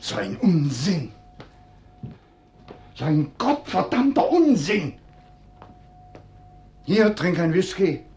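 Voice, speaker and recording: An actor playing a bitter, irritable husband in a German radio play, speaking sarcastically and cursing. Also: male voice, high-pitched, sing-song, takes it slowly, pauses often, darkish tone, heavily acted. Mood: irritated, nervous. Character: bitter, irritable, sardonic husband growing nervous. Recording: roomy echo, faint background noise.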